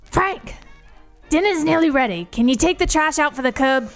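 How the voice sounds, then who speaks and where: Shrill bossy nagging wife. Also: female voice, expressive, moderate pace, faint music behind. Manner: nagging, dramatic. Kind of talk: audio drama acting.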